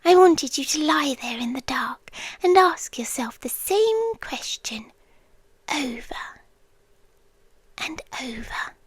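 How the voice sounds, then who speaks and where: A British woman playing an obsessive, creepily devoted yandere girlfriend in an intimate ASMR roleplay, breathy and often whispering, lingering on short phrases. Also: sits high, animated, slow, pauses often, no echo. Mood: teasing menace. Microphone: close microphone, dry intimate recording.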